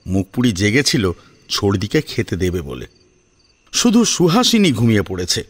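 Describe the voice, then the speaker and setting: A man narrating a scripted family drama in an expressive, suspenseful storytelling manner. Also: on the low side, normal speed, husky.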